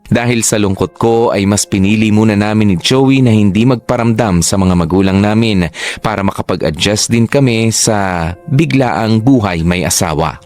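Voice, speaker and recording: A man's voice: fairly low pitch, a little mellow. A man giving scripted, sentimental dramatic narration as the letter reader in a Filipino radio drama.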